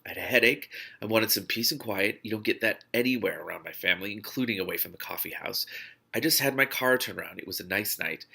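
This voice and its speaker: A man reads fiction aloud in a slightly low voice with a bright, forceful edge. His delivery is expressive and informal, like a host sharing a book and reacting as he goes. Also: vocal fry. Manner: animated, informal, breaks off to comment. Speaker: reader and host of a read-along series. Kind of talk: expressive read-aloud of a novel with off-the-cuff reactions.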